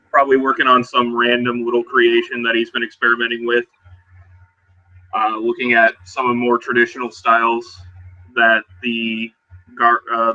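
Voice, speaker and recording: A man with a very bright, forward voice at a middle pitch plays a tabletop role-playing game. He moves between narration and in-character talk in a halting, conversational way, on a dull lo-fi recording.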